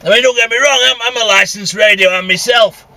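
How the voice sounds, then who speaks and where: High, bright-voiced Northern English man grumbling. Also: fairly quick, no echo. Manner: grumbling, blunt, sweary. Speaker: CB radio vlogger. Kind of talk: casual rambling monologue while driving. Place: inside a vehicle.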